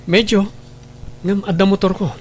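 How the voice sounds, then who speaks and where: A man's voice: high-pitched, fairly even intonation, moderate pace, slight background noise, close to an everyday voice. A man acting in a radio drama as an eager, sweet-talking former boyfriend. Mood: affectionate.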